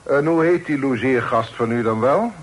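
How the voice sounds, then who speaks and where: A man's voice: medium pitch, moderate pace, natural voice. A man playing a stern, suspicious father and magistrate in a Dutch radio drama, speaking in a formal, reproachful way. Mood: commanding, interrogating. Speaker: radio play actor. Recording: faintly noisy old radio play recording.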